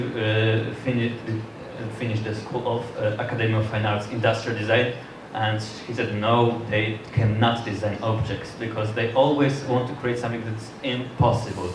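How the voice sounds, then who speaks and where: A man moderating a public talk, speaking English haltingly with many restarts and fillers. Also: lowish voice, steady tone. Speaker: event moderator. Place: lecture hall. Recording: distant mic in an echoey hall with noise.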